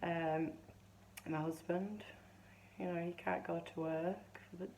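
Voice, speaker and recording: A woman in her fifties chatting casually to camera, rambling and restarting as she tells a story, her voice still a little hoarse. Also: lowish voice, fairly even intonation, takes it slowly, a little mellow, a little hiss or hum, rough recording. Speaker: vlogger.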